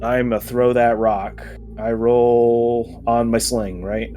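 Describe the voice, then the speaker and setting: A man's voice: mid-range voice, little pitch movement, slow, narrow, tinny audio, a little hiss or hum. A man chatting casually as a player in a tabletop role-playing game.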